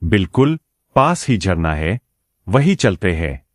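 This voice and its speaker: A man's voice: deep voice, very animated, slow, no room sound, clean studio sound, heavily acted. A man voicing a sly, scheming wolf in a cartoon moral story, oily and mock-friendly in a theatrical way. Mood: falsely friendly. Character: sly scheming male wolf, falsely friendly, muttering plots.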